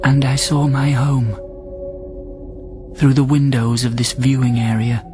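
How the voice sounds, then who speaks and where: A man acts out an immortal prisoner alone in orbit, telling his own story. His voice sits at an ordinary male pitch, thoughtful and measured, in radio drama style. Music with plucked guitar plays clearly behind him. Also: level tone, lightly acted. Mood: reflective, haunted. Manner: reflective, measured first-person narration. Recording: loud music bed under the voice.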